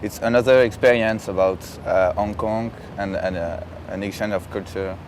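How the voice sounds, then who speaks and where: Young Frenchman speaking halting English. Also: on the low side, steady tone, slight background noise. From France. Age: young adult. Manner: halting, simple phrasing. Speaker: exchange student. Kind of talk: casual chat. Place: outdoors on a campus lawn. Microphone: camera at a distance.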